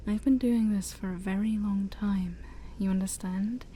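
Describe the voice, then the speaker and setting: Demon princess, acted by a woman: very dark, mellow tone, unhurried and half-whispered, haughty but secretly shy, ASMR roleplay over background noise. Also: mid-range voice, fairly even intonation, close to an everyday voice. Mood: bemused, intrigued. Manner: formal, teasing, hesitant, sighing.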